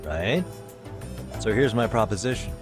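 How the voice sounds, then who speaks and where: A casual private eye in his thirties, reasons wryly and persuasively in video game dialogue. His voice sits low and stays conversational. Music is clearly audible underneath. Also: lively intonation, unhurried. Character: laid-back, persuasive adult male private detective. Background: background music.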